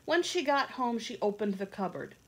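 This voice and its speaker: A woman in her thirties reading a picture book aloud for children in a deliberate, sing-song, teacherly way, with asides to the listeners. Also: fairly high pitch, very animated, husky, rough recording. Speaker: read-along storyteller for children. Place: indoor rooms at home.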